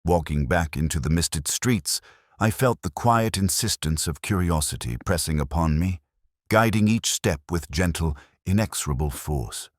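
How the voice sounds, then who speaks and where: Male narrator, very deep pitch, lively intonation, measured literary delivery, first-person mystery story, dry close sound. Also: no echo.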